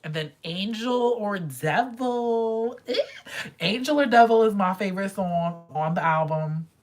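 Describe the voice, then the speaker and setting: A woman's voice: mid-range voice, animated, measured pace, soft background music. A young woman casually reviewing pop albums in a vlog, chatty and gushing, sometimes dropping to a whisper. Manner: chatty fangirl, gushing, drops into quiet whispers.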